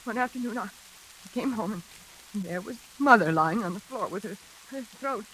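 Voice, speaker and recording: An actress playing a hysterical, guilt-ridden woman in a melodramatic 1940s radio suspense play, gasping and halting as she speaks. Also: medium pitch, lively intonation, a bit bright, lightly acted, low-quality recording. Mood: anguished confession. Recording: hissy old radio recording.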